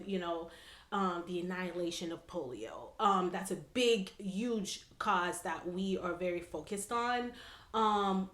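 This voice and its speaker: A woman hosting a casual conversational interview, often chiming in with affirming interjections. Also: medium pitch. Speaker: podcast host. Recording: echoey room.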